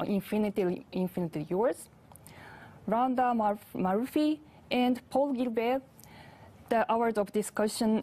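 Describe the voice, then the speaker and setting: A woman's voice: medium pitch, darkish tone. A woman in her thirties with a Japanese accent, carefully giving a formal introduction as a festival host on a lapel mic. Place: indoor studio.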